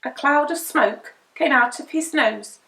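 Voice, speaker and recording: A woman reading a children's picture book aloud as a storyteller, with dramatic, deliberate emphasis. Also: high-pitched, a bit bright. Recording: roomy indoor echo.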